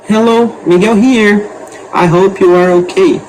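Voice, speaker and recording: A man's voice: high-pitched, fairly even intonation, measured pace, noisy background. A Brazilian man in his twenties with a Brazilian Portuguese accent, introducing himself in upbeat learner's English. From Mato Grosso, Brazil. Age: 20s. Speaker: language student.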